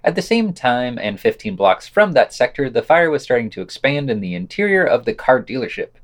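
Male podcast news reader, mid-range pitch, animated melody, scripted delivery with casual commentary. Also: a little fast. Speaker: podcast host reading news.

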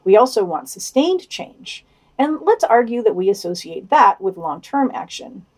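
A woman's voice: mid-range voice, big pitch swings, normal speed. A woman co-hosting a podcast, reading scripted news commentary and quotations with occasional wry asides. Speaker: podcast co-host.

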